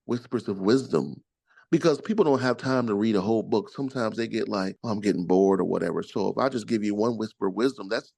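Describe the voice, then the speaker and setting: A man's voice: fairly low pitch, quick pace, creaky, darkish tone, no echo. A middle-aged man with a rich, soothing voice, talking expansively about spiritual self-help as an interview guest. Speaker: author and podcast guest. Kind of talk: casual interview chat.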